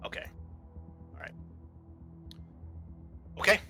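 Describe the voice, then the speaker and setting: A man's voice: slow pace, bright, forceful tone, faint music behind. A man narrating a tabletop roleplaying game as its game master, addressing the players.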